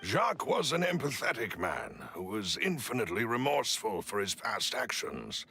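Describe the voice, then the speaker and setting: A man playing a loyal, cautious butler in a video game, speaking formally and politely with a gravelly voice. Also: on the low side, very animated, moderate pace, pushed and bright, exaggerated voice. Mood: grave, cautioning. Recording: music behind dialogue.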